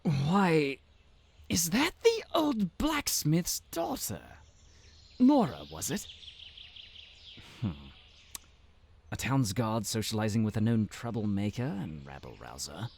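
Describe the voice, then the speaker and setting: Man playing a slow, sneering pompous official. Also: mid-range voice, huge pitch swings, vocal fry, slight background noise, exaggerated voice. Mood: sneering contempt. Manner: pompous, condescending, drawn-out formal phrasing. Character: haughty, sneering town official, condescending and smug male. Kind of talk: fantasy audio roleplay acting.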